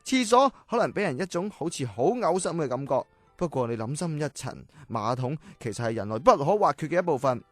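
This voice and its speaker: An actor playing an earnest, excitable and easily flustered old-time inventor in a children's audio drama, theatrical and exclamatory. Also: male voice, on the high side, fairly quick, hardly any pauses, no room sound, faint music behind, lightly acted.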